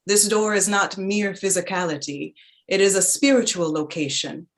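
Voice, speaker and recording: An actress playing a reflective narrator who remembers her childhood, in a measured, deliberate staged reading of a literary play. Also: medium pitch, close to an everyday voice. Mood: solemn, meditative.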